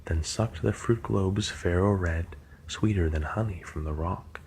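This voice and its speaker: A man giving a soft ASMR poetry reading, his measured recitation sometimes drifting into whispers. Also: sits low, slight background noise.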